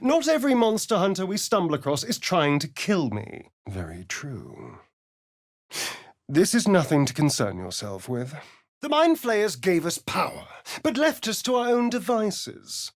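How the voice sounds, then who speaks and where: Flirty sarcastic vampire elf for a game, lazy drawl, really lively pitch. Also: male voice, unhurried, exaggerated voice. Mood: sly. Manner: drawling, theatrical, teasing. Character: sardonic, flirtatious male vampire spawn elf. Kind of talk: video game voice acting.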